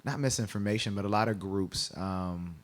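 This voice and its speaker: Male interview guest from Kenya, low voice, explanatory and conversational, often tags points with "right?". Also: normal speed.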